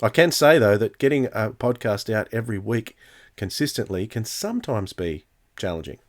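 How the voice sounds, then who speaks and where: A man's voice: lowish voice, sing-song, moderate pace. A man chatting casually as a podcast host. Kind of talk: casual chat.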